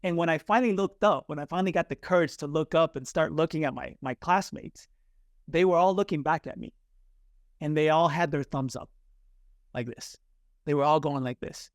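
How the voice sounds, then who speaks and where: A man in his forties, raised first in Chile with Spanish as his mother tongue, recounts a childhood memory as a podcast guest. His voice sits a bit high and he animates the tale by putting on the voices of others. The sound is dry and close, with no room around it. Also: dry recording. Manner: animated, acts out quoted voices. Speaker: guest, communication coach. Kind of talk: storytelling in a podcast interview.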